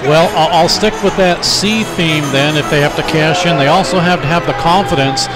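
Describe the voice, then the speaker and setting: A man calling a live college hockey game, chatting with co-hosts and rising in excitement on shots. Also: a little high, moderate pace. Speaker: play-by-play announcer. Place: ice arena broadcast booth. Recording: loud arena crowd and music.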